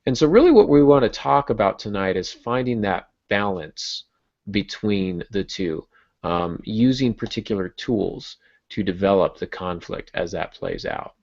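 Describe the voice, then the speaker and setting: A man's voice: on the low side, expressive, unhurried, creaky, a little mellow. A man hosting a conversational discussion about writing, explaining informally with wry asides. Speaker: podcast host. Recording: muffled lo-fi audio.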